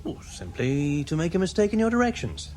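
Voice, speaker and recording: An actor playing a scheming, wheedling spaceship officer with a smooth-talking, conniving manner in a radio science fiction drama. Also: male voice, a little high, sing-song, brisk, a little mellow, slight background noise.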